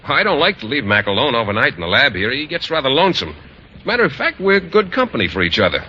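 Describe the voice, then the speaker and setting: Earnest bachelor teacher, very bright voice. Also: male voice, medium pitch, very animated, fast. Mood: bashful, earnest. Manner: boyish, naive, hesitant. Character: shy, earnest bachelor male biology teacher. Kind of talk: 1940s-50s radio sitcom acting. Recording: muffled vintage radio, light noise.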